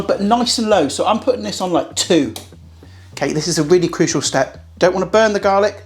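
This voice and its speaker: A man hosting a casual cooking tutorial, chatty and blunt, swearing now and then. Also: fairly high pitch. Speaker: cooking channel host.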